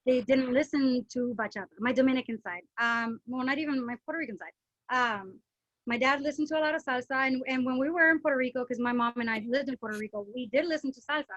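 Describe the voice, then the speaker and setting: A woman with a high voice hosts a casual podcast chat, lively and quick to jump in. The sound is dry and a little dull, with no room around it. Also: no room sound. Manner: lively, chatty, quick to chime in. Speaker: podcast host.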